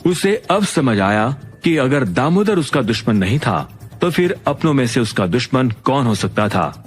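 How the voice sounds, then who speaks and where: A man's voice: mid-range voice, big pitch swings, a little slow, faint music behind. A man narrating a scripted dramatic audio story.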